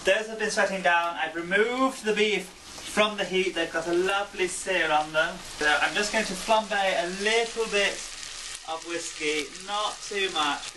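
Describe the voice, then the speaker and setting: A man giving a comic cooking demonstration with a deadpan, mock-pompous manner. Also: high-pitched, a little slow, noisy background. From England. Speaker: home cook. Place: home kitchen. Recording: distant mic, loud kitchen sizzle. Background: frying food sizzling.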